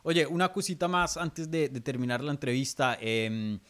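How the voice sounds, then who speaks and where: A man's voice: mid-range voice, expressive, normal speed, a bit bright. A man hosting an interview, asking long, rambling questions with hesitant fillers. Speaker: interviewer, host.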